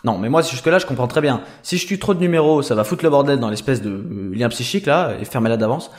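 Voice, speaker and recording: A man's voice: mid-range voice, animated, fast talker, background noise, natural voice. A man playing a flustered, sarcastic henchman clone, rambling and exasperated in a comedic audio drama.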